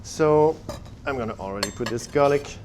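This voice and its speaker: A man working as head chef, demonstrating a recipe in a kitchen and calmly explaining each step. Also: medium pitch, moderate pace, noisy background. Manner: relaxed, explains steps simply. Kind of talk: cooking demonstration.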